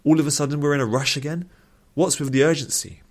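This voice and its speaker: A man's voice: lowish voice, animated, moderate pace, warm tone, slightly rough. A man voicing a brash, skeptical, grumbling male adventurer in a storybook. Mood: irritated.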